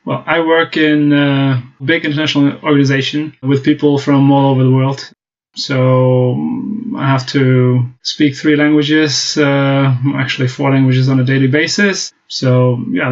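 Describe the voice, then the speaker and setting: Flat-voiced German man, hesitant. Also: medium pitch, moderate pace. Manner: hesitant, many fillers and restarts. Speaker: guest. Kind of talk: casual interview talk. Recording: dull lo-fi podcast audio.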